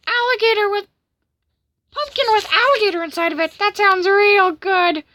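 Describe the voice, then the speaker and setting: A woman's voice: extremely high pitch, moderate pace, bright, forceful tone, slight background noise, voice pushed up. A woman voicing a crazed, hungry, bullying marshmallow man who threatens and taunts in a storytelling game video. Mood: taunting, gloating.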